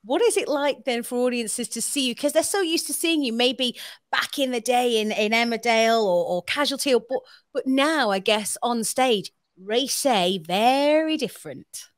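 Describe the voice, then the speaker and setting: A woman hosts a podcast from a small studio, speaking into a mic on a stand. Her voice is high and the sound is dry, and her questions ramble along with eager restarts. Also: animated, normal speed, dry recording. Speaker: podcast host. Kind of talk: interview questions.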